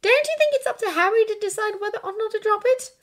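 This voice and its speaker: A woman's voice: very high-pitched, quick pace, voice pushed up. A woman voicing a bookish, earnest teenage witch who is exasperated but caring, in insistent audiobook dialogue. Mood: tentative, insistent.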